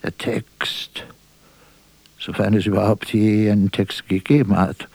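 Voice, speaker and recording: An actor playing a melancholy, self-doubting stage player in a radio drama, speaking in a declamatory, poetic way that moves between murmur and outcry. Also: male voice, low-pitched, vocal fry, darkish tone, no echo, exaggerated voice. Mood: bitter, agitated. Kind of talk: theatrical radio drama monologue.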